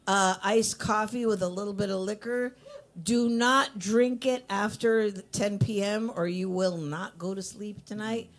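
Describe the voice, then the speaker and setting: Low-voiced woman hyping an open mic. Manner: playful, teasing, hyped-up announcing. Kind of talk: live emcee patter. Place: coffee shop with a bar, live event.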